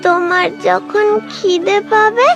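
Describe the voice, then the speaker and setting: A performer voicing a small, timid, sweet child in a cartoon, speaking in a halting, plaintive way. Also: very high voice, fairly even intonation, creaky, highs cut off, full character voice. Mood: shy, sweet. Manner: slow, halting, plaintive speech. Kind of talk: cartoon voice acting. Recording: music behind the voice.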